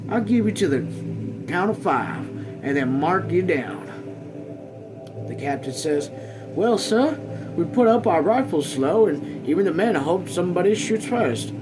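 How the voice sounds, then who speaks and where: A man reading a novel aloud as a read-along host, somewhat haltingly, stumbling over words and voicing folksy dialogue. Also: high-pitched, animated, rough recording. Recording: music playing behind the voice. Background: music.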